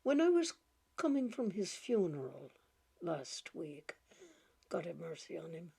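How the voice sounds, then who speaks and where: A woman reading a short story aloud in a gentle, halting way, repeating words and laughing softly as she goes. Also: on the low side, big pitch swings, gravelly, breathy edge. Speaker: audiobook reader.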